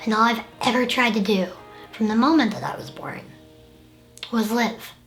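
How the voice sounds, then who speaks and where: A woman's voice: a little high, fairly even intonation, moderate pace, a bit bright, faint music behind. Earnest young woman narrating her documentary. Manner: earnest, candid, reflective. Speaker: documentary host with the condition. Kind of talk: personal documentary narration and interviewing.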